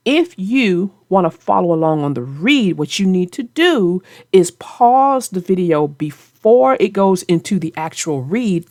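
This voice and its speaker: A woman's voice: fairly low pitch, a little mellow, no echo, clean studio sound. A woman hosting and narrating a read-aloud for young children, speaking directly to her young listeners. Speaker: children's book narrator and channel host.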